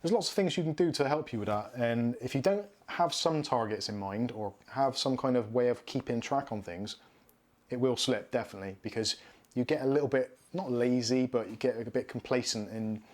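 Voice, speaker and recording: A man's voice: medium pitch, fairly quick, darkish tone. A man in his thirties from southwest England with a Bristolian accent, hosting a casual live Q&A and answering viewer comments. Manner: chatty, reads out viewer comments and answers them. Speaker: live stream host. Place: home studio room with shelves and neon signs.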